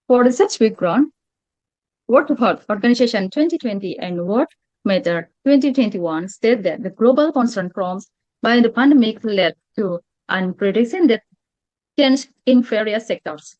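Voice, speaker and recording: A woman's voice: a little high, no echo. Indonesian woman reading formally, in dull audio. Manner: formal, careful, stumbling over long numbers and phrases. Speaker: postgraduate research presenter. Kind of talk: scripted conference presentation, read aloud.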